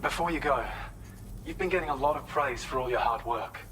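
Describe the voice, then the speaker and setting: An actor playing an earnest, helpful male researcher in a video game, giving a polite, measured, explanatory briefing. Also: medium pitch, a bit bright, slight background noise, close to an everyday voice, low-quality recording. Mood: earnest, businesslike.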